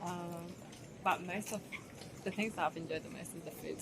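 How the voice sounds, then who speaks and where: A woman's voice: fairly low pitch, steady tone, moderate pace. A woman from Ecuador, a tourist answering street interview questions, chatty and giggly. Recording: busy crowd noise behind.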